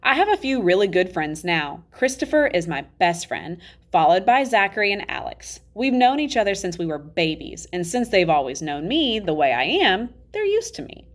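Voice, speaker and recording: A woman's voice: on the low side, sing-song, moderate pace. Woman reading as a candid ten-year-old boy. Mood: chatty, reflective. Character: ten-year-old boy narrator, candid, self-aware, matter-of-fact. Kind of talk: children's novel read-aloud.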